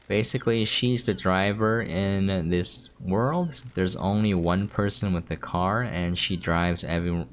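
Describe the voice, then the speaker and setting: Low-voiced man casually commenting on a game. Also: a little hiss or hum. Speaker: game preview host. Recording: music behind the voice. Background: game music.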